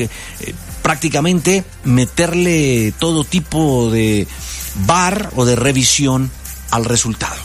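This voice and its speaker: Colombian radio news anchor, man. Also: mid-range voice. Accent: Colombian Spanish. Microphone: studio microphone.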